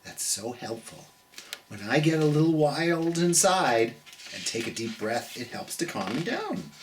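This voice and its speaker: An American storytime host reading a picture book to children and chatting in a gentle, theatrical way. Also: medium pitch, recorded from a distance, slight background noise.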